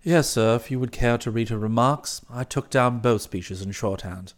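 A man voicing an unflappable, deferential English gentleman's valet in an audiobook, with upper-class British English and formal, measured, discreet phrasing. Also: medium pitch, pitched below the performer's own voice, natural voice. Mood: calm, deferential. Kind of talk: audiobook character voice.

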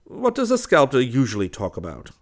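A man reading the part of a jaunty, excitable young joker in a story, emphatic and mock-indignant. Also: medium pitch, fairly quick, voice pushed up. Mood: teasing, mock-indignant. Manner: emphatic, exclaiming.

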